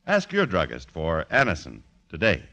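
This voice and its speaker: A man's voice: low voice, wildly swooping pitch, normal speed, pushed and bright. A man reading a vintage 1940s radio advertisement as announcer, with polished, emphatic enunciation. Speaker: commercial announcer. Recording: restored old radio broadcast.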